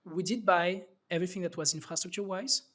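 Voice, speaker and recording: A man's voice: sits high, fairly even intonation, quick pace, a bit bright. A man from France with a French accent, a startup founder talking loosely as an interview guest with many fillers and restarts. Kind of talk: casual interview talk.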